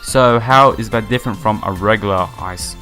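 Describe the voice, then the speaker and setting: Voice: mid-range voice, normal speed, a bit bright. A gamer narrating a visual novel playthrough, reading text aloud and stumbling here and there, with casual slangy asides. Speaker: let's player. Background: background music.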